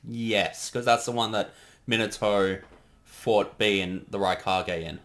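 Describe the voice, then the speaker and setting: A man's voice: lowish voice, unhurried, fairly bright tone. A man giving casual creator commentary in a chatty conversation.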